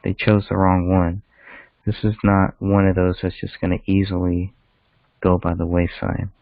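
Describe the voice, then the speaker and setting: A man's voice: low-pitched, flat delivery, normal speed, no room sound. A man co-hosting a casual discussion of a case over a call, heard through a narrow phone line.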